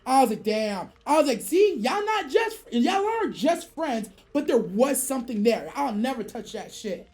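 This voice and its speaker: An American woman telling a story casually on a livestream, animated, profane and slangy, acting out the dialogue she quotes. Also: high-pitched, normal speed, few pauses. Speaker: streamer. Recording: music behind the voice. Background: music.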